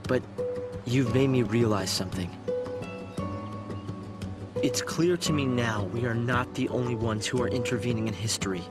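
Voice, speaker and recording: Man acting an idealistic young researcher over loud music. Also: medium pitch, normal speed, long pauses, natural voice. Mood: thoughtful, hopeful. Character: idealistic, thoughtful young research director explaining discoveries. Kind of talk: video game voice acting, expository dialogue. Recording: loud game music behind the voice.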